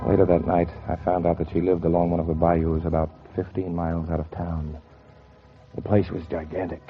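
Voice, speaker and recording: A man's voice: low voice, level tone, fairly quick, loud music behind the voice. An actor playing a bitter, cold-blooded man bent on revenge, narrating in brooding 1940s radio noir style with sardonic deliberation. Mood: calculating, sardonic. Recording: dull, noisy 1940s radio recording.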